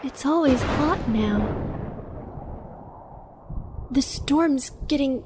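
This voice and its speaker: A woman's voice: high voice, extremely slow, darkish tone, slight background noise. A woman playing an anxious, innocent young girl devoted to her father in an audio drama, childlike and plaintive. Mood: timid, curious.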